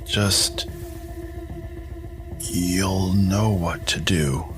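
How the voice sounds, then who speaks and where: An actor playing a badly wounded man in an audio drama, weak, halting and barely able to speak. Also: deep voice, fairly even intonation, over music. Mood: fading, weak. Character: badly wounded man, fading out, urging his companion on.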